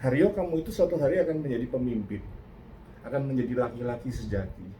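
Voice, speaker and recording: An older Indonesian man giving a casual reaction talk, reflective and earnest, pausing and restarting often. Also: medium pitch, animated, a crowd in the background, poor audio. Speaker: host.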